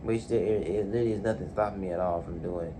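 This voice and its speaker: A man's voice: sits low, steady tone, quick pace, noisy background. A man in his late teens talking alone in his bedroom, hesitant, full of fillers and restarts. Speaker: vlogger. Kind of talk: casual solo talk to camera.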